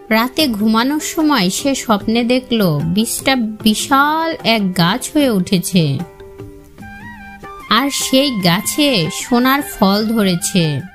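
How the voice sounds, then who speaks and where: Slow, high-pitched woman storyteller. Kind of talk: scripted children's moral-story narration.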